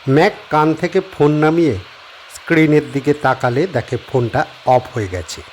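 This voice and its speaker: A man narrating a horror audio story in a measured storytelling manner. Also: fairly high pitch.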